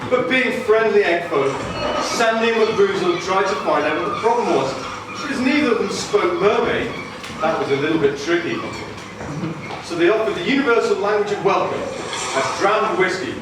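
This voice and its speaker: A man's voice: high-pitched, lively intonation, moderate pace. A man narrating a scripted story as part of a live puppet show. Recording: echoey noisy hall, far from microphone.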